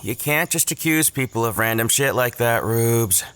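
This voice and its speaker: A man's voice: medium pitch, normal speed, a bit bright, smooth, no room sound, natural voice. Actor as laid-back, sardonic college guy. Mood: dry, amused. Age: young adult. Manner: casual, dry, teasing. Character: Lee, laid-back, sardonic young male college student. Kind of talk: audio drama acting.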